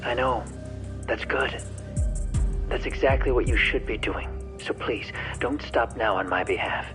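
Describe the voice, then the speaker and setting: A male actor plays a resigned fugitive in a dramatic game cutscene. He speaks deliberately and earnestly at a middle pitch, with clear music playing underneath. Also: natural voice. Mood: somber, resolute. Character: resigned, soft-spoken fugitive man confronting a woman with guilt.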